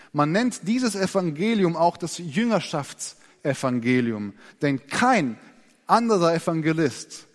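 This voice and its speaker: A man preaching in a slightly high voice, earnest and expressive. He slows down and goes soft to stress key points. His voice trails off into the long reverb of a large church hall. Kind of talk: sermon.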